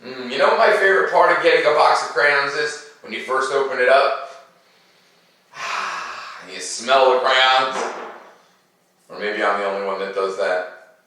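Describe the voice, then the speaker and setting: A man talking to children like a school teacher, playful and animated, explaining things in simple words. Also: mid-range voice, a little slow, pauses often, far from the mic. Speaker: school teacher hosting a read-aloud for children. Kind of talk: chatty talk to children, then storybook read-aloud. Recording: very echoey room, away from the mic.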